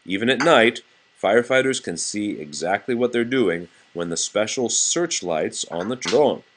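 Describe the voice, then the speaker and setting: Low-voiced man reading to a child. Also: animated, normal speed, fairly bright tone, slight background noise. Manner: plain explanatory reading, with conversational asides to a child. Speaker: adult reading aloud to a child. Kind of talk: children's nonfiction book read-aloud.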